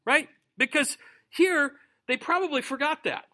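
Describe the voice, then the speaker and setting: A middle-aged man preaching a sermon, reading scripture and then commenting in an animated way, often acting out the voices he quotes. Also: extremely high pitch, a little slow. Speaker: pastor. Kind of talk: expository sermon.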